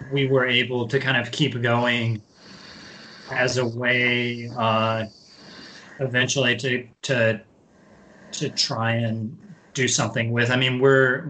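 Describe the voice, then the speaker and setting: A man chatting casually as a podcast guest in a hesitant, halting way, with faint noise behind him. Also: medium pitch, pushed and bright, rough recording. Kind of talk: casual chat.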